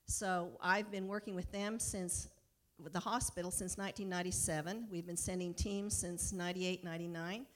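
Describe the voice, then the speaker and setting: A woman's voice: fairly low pitch, steady tone, normal speed. A middle-aged or older American woman giving an informal, enthusiastic personal talk to a congregation as a mission worker. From United States. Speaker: mission partner for a hospital, guest speaker.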